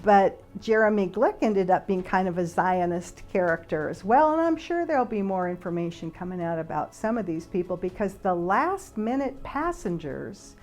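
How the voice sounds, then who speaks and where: A woman giving an informal explanatory monologue in a conversational, speculative way, halting with pauses. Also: mid-range voice, lively intonation, darkish tone. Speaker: speaker, flight attendant and author.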